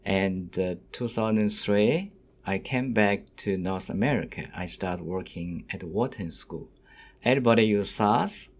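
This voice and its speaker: Casting: middle-aged male university lecturer, non-native English speaker, deep voice, unhurried and halting delivery to students. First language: not English.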